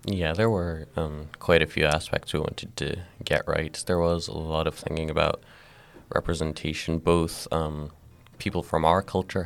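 Young male guest, very low voice with gravel, measured explainer. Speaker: guest, comic book co-creator. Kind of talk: interview answers.

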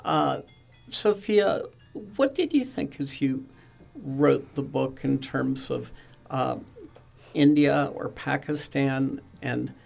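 Gravelly, slow-talking male interviewer. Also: medium pitch, darkish tone. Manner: halting, many fillers and restarts. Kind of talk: radio interview.

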